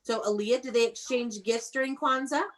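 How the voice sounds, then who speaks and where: A woman's voice: a little high, steady tone, moderate pace, slight background noise. A woman teaching young children in an online read-aloud class, prompting them with questions and encouragement in an instructive way.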